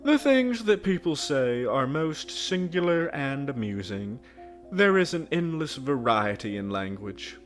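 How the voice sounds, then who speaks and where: A man doing a strange, prim village girl in a storybook, pitched a little higher than his own voice. She speaks in smug, teasing riddles with a faintly superior air. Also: big pitch swings, unhurried, soft background music.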